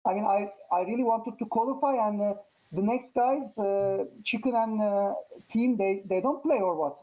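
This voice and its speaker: A man from Turkey, a Turkish native speaker, chatting casually as a guest after a chess match, halting and full of fillers. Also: sits high, dark tone, rough recording. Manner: halting, many fillers and restarts. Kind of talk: casual post-match interview.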